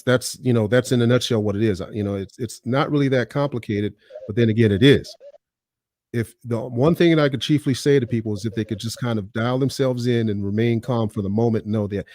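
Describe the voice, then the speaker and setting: A man who is a retired police officer, calmly explaining police work from experience and restarting phrases as he goes. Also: mid-range voice, no room sound, studio quality. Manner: measured, restarts phrases, frequent "you know".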